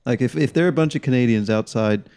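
A young man from Denver, a podcast guest, talking in a casual, halting, joking way. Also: mid-range voice, darkish tone, clear, resonant tone. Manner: halting, self-interrupting, joking.

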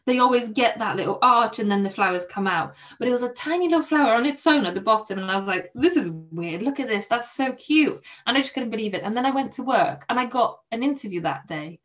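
A young Polish woman, a podcast guest, telling a personal story in a soft, hesitant way with many restarts. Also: on the high side, lively intonation, fast, hardly any pauses. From Poland.

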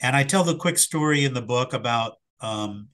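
A middle-aged man, slightly hoarse after an illness, answering interview questions in a reflective, hesitant way with fillers and restarts. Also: mid-range voice, animated, normal speed, a bit bright. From Philadelphia area. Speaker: sports psychologist guest.

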